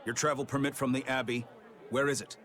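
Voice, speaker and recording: A man's voice: medium pitch, steady tone, brisk, fairly bright tone, a faint crowd, lightly acted. A man voicing a stern, officious city guard in a video game, brusque and authoritative. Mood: suspicious. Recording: music behind the voice.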